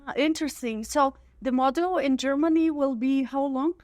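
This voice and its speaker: A woman's voice: high voice, normal speed, darkish tone, no room sound, studio quality. A woman with a Brazilian Portuguese accent, a professor hosting an interview in English, friendly and encouraging with frequent affirmations. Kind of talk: interview host.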